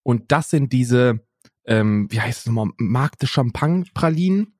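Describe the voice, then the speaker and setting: A man's voice: mid-range voice, animated, measured pace, darkish tone, no echo, studio quality. A man co-hosting a podcast in casual chat, rambling with many fillers and restarts, recorded over a remote call. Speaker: podcast co-host.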